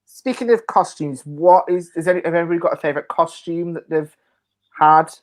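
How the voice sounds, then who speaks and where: A man's voice: fairly high pitch, a little fast. Informal male host putting questions. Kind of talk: casual panel moderation.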